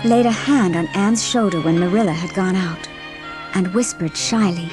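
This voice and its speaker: A woman with a husky voice narrating a storybook in a scripted audiobook style. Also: fairly low pitch, normal speed, over music.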